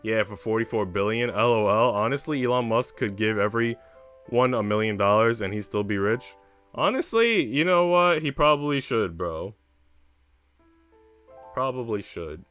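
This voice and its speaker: A man's voice: on the low side, animated, moderate pace, fairly bright tone, clear, resonant tone. Man chatting casually over music. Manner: slangy, informal. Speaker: streamer. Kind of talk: casual stream chat and read-aloud of a visual novel.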